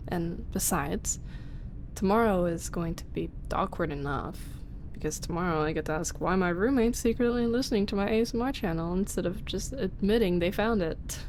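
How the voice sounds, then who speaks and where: A young woman voicing a shy roommate who secretly runs an ASMR channel, speaking softly in half-whispers with frequent small laughs and halting pauses. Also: on the low side, a little hiss or hum, rough recording. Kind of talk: ASMR audio roleplay.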